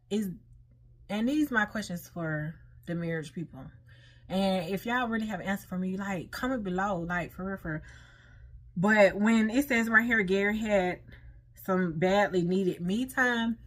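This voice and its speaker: A woman's voice: lowish voice, fairly bright tone. Female American online host, conversational and informal, mixing read-aloud lesson material with her own off-the-cuff commentary. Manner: informal, conversational, asks listeners rhetorical questions. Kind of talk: casual talk-through of read material with personal commentary.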